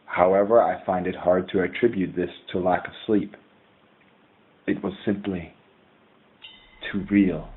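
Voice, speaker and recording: A man playing a haunted, dread-stricken scholar who gravely and formally confesses to an audio journal in a horror drama. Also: low-pitched. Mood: shaken, grave. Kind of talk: scripted horror audio drama monologue, literary diction. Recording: tinny phone-like audio log. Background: music.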